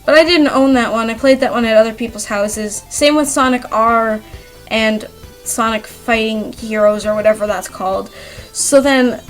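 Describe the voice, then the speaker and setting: A young woman with a high-pitched voice talks in a loose, chatty ramble. She is animated and self-deprecating, prone to giggling, like someone telling personal stories in a voice-over. Light music plays faintly behind her. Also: normal speed, poor audio. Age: young adult. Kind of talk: casual rambling chat over speedpaint. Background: background music.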